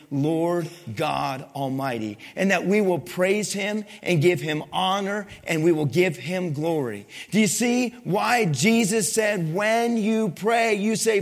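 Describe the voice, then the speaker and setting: A male pastor preaching a sermon to his congregation, asking it questions and swinging between loud emphasis and soft, low lines. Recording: long hall reverb.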